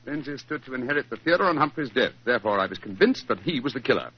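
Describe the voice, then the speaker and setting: An actor playing a brilliant, commanding English detective in 1940s radio style, with a clipped, precise British delivery. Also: male voice, mid-range voice, lively intonation, fast talker, breathy edge. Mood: composed, explanatory. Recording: muffled, noisy 1940s radio recording.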